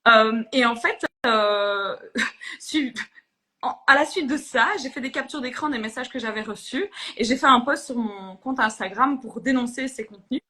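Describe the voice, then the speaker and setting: This is a young woman, speaking as a guest in a live interview. Her voice sits slightly high. She talks earnestly, with frequent fillers and restarts as she thinks aloud. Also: moderate pace, bright, forceful tone. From Belgium. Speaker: politician guest. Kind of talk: live interview on social media.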